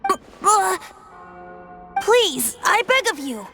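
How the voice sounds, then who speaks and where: Androgynous young bard, playful, very animated high voice, cheeky, theatrical anime game delivery. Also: female voice, slow pace, over music, exaggerated voice. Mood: pleading. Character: cheerful, cheeky androgynous young male bard, secretly a god.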